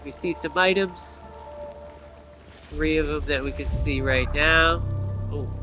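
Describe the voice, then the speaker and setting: A man's voice: a little high, slow, over music. A man giving casual gameplay commentary, explaining things and thinking aloud as he plays.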